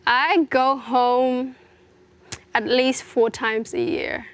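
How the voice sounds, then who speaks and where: A woman's voice: high voice, steady tone, drawn-out words. A young woman from South Sudan, a fashion model, answering interview questions in an earnest, reflective way, often adding "you know". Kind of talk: casual personal interview.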